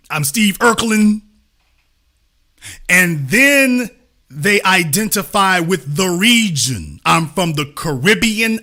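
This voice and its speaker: A man's voice: high-pitched, pushed and bright. A man hosting a commentary show, talking back at a clip in an emphatic, lecturing way and repeating his points for stress. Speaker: commentator host. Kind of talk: reacting to an interview clip.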